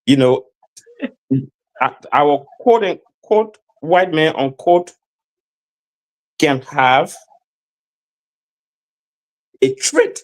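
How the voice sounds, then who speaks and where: A man's voice: on the high side, lively intonation, very slow, pauses often, low-quality recording. A man speaking as a guest in a discussion, emphatic and lecturing, who repeats phrases and stammers as he builds his point. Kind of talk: lecturing opinion.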